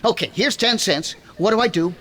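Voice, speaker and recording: A man's voice: sits high, brisk, close to an everyday voice. A man playing a folksy, boastful, wisecracking husband in 1940s radio comedy style, full of slang. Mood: exasperated. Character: wisecracking, grumbling husband, boastful and quick to bicker. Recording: noisy live recording with audience.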